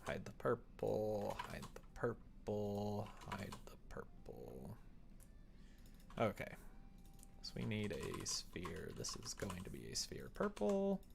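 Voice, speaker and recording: A man's voice: fairly low pitch, vocal fry. A man live streaming as he develops a visual novel, casually thinking aloud and chatting with viewers as he works. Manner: thinks aloud, mutters instructions while working, chats back to viewers. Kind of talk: casual livestream chat while coding, often muttering to himself. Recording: faint background noise with keyboard typing.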